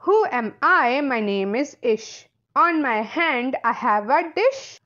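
A woman plays a whimsical creature from a children's storybook, pleased with itself. It speaks in a high, lively, showy sing-song. The sound is slightly lo-fi. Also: takes it slowly, exaggerated voice. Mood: whimsical, proud.

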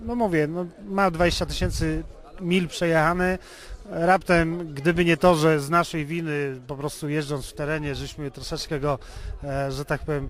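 Higher-voiced Polish man, wordy and explanatory. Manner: wordy, explanatory, often repeats and rephrases himself. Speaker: interviewee, classic car owner and hobby restorer. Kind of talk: informal radio interview.

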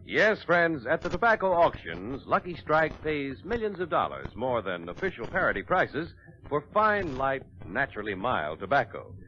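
A man's voice: mid-range voice, huge pitch swings, moderate pace. An American man delivering a scripted 1940s radio commercial as an announcer. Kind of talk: 1940s scripted cigarette advertisement. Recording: dull vintage radio recording.

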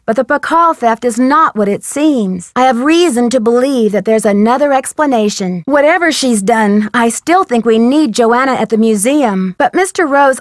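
An earnest young woman sleuth from an adventure game. Her voice sits fairly high and she speaks politely, with clear diction and an inquisitive air. The recording is dry, with no room sound. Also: no room sound. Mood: pleading, earnest. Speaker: voice actress in a detective adventure game. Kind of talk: scripted game dialogue.

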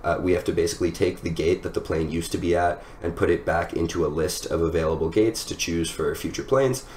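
A man's voice: deep voice, brisk, a little mellow. A young man in his twenties giving a casual technical explanation as a teacher, recorded in a room at home. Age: 20s. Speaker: software engineer teaching a design lesson. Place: small room at home, indoors. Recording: echoey room with background noise.

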